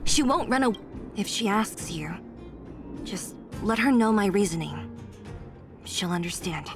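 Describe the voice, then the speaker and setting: A woman playing a cool, principled young fighter in a video game, firm and deliberate. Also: mid-range voice, expressive, close to an everyday voice. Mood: reserved. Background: faint game music.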